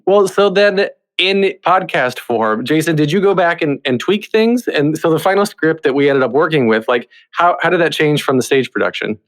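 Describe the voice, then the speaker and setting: A man's voice: on the high side, lively intonation, normal speed, few pauses. A man hosting a podcast, interviewing guests in a casual, conversational way.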